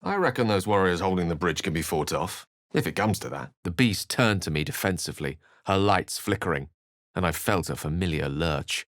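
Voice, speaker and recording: A learned wizard for a video game, in a low-pitched voice recorded close and dry. He is courteous and wry, shaping each phrase articulately with theatrical, literary polish. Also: lively intonation, normal speed, a bit bright, no room sound. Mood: earnest. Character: erudite, courteous, wry human wizard, adult man. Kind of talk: video game voice acting, dialogue and narration.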